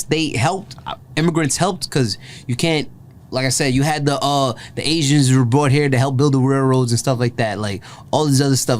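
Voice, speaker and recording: Rambling casual male host. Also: mid-range voice. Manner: rambling, heavy with "like" and "yo", restarts often. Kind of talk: casual reaction chat.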